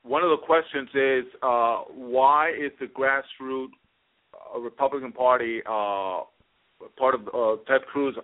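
Halting man on a phone-like line. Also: mid-range voice, steady tone. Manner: halting, repeats his words. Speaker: radio show host. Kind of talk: casual political talk radio. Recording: thin phone-like sound.

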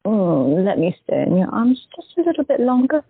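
A woman playing a gentle, hesitant young artist in an audio drama. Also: medium pitch, big pitch swings, moderate pace, gravelly, husky, no echo, heavily acted. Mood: tender, wistful. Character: soft-spoken young woman artist, wistful. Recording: dry, tinny phone-like sound.

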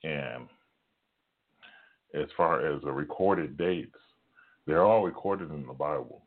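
A man with a very deep, rumbling voice giving an informal sermon at home, talking quietly with many pauses and fillers. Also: narrow, tinny audio. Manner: hushed, halting talk with many fillers. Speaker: preacher. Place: home at night, son in next room.

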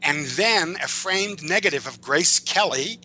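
Very bright, forceful man explaining his craft. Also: on the high side, lively intonation, a little hiss or hum. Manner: digressive, emphatic, halting delivery. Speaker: interview guest, comic book and screen writer. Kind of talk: conversational explanation of film and comics craft.

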